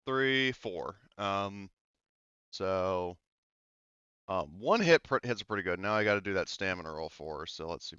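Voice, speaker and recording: A man's voice: deep voice, creaky, sharp tone, clear, resonant tone, dry recording, dull audio. A man acting as game master in a tabletop game, chatty and loose, with lots of fillers and the odd swear. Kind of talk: running a tabletop game, casual.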